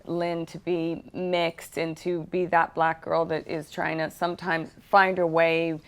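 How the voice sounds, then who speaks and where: Low-pitched woman from the Bahamas. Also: little pitch movement, a little slow, smooth. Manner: earnest, reflective storytelling. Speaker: actress guest. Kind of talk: casual interview chat.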